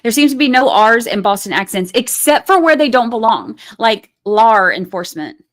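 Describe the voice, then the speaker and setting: A woman's voice: on the high side, expressive, moderate pace. A woman hosting a casual livestream, reading viewer comments aloud and reacting to them in a chatty way.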